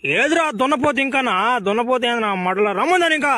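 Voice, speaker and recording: Very high-pitched ranting cartoon man playing a loudmouth. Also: lively intonation, fast talker, heavy background noise. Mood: boastful, blustering. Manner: ranting, slangy, exclamatory. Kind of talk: Telugu comedy cartoon spoof dubbing.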